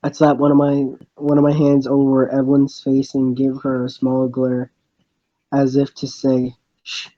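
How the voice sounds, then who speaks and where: A man doing an amateur dramatic reading of first-person narration and dialogue, halting and stumbling over his lines. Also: mid-range voice, completely flat delivery, dark tone, lo-fi sound. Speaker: reader voicing the protagonist of a fan visual novel.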